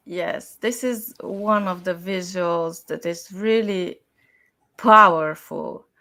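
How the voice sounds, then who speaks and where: A woman speaking as an expert guest in an interview, explaining her points deliberately in short, emphatic phrases. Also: lowish voice, pushed and bright. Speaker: style and communication expert guest.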